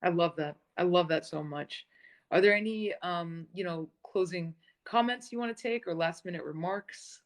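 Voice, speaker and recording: A woman's voice: fairly low pitch, moderate pace. A woman interviewing in a casual live-stream chat, enthusiastic and laughing often.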